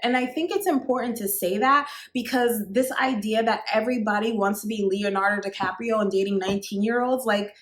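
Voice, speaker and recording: A woman's voice: fairly high pitch, fairly quick, few pauses, echoey room. A woman in her thirties giving dating advice to women straight to camera, chatty and emphatic, with many fillers. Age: 30s. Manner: chatty, emphatic, repeats "like" and "actually". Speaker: dating coach. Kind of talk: direct-to-camera advice talk.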